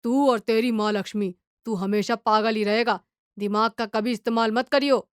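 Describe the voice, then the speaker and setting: A woman's voice: fairly high pitch, steady tone, moderate pace, clear, resonant tone, no room sound, lightly acted. Woman voicing earnest young villager, dry and close. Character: two young village men, friends, talking earnestly. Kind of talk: storytelling character dialogue.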